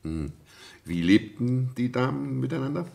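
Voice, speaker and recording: An actor playing a brusque, authoritative French police prefect who questions witnesses curtly in a German radio play. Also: male voice, medium pitch, lively intonation, normal speed, vocal fry, a little mellow. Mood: curt, businesslike. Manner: curt, commanding, interrogating.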